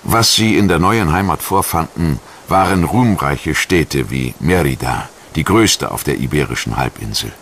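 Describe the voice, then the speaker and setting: A man narrating a scripted history documentary in a measured, articulate storytelling manner. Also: very deep voice, animated, fairly bright tone, slight background noise.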